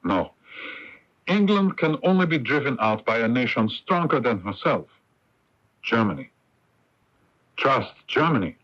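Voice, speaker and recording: An actor playing an urbane, persuasive German count in a radio drama, insistent and grandly assured. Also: male voice, medium pitch, big pitch swings, unhurried, pauses often. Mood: insistent, scornful. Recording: muffled AM radio broadcast tape.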